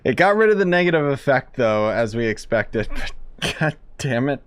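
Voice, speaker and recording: A man's voice: mid-range voice, very animated, moderate pace, smooth. Sarcastic man streaming a game. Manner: sarcastic, impatient. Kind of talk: casual gameplay commentary.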